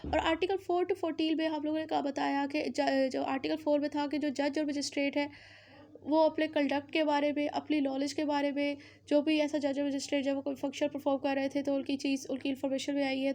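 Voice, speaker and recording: A woman's voice: high voice, darkish tone. A woman with a Pakistani accent giving an explanatory law lecture, repeating points and mixing in English legal terms. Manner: repetitive, uses English legal terms mixed in.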